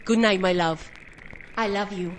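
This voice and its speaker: Lady acting a caring mom in a radio play, medium pitch, faint noise behind her. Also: a little slow, lightly acted, poor audio. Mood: soothing. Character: tender, reassuring mother new to the bayou.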